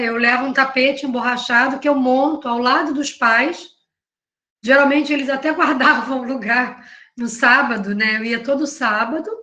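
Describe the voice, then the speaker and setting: A woman's voice: sits high, a bit bright, lo-fi sound. A Brazilian woman who is a university professor and researcher, giving a conversational academic panel talk with frequent tag questions and self-corrections. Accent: Brazilian Portuguese. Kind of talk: academic panel talk presenting her work. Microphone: video call. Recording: echoey room.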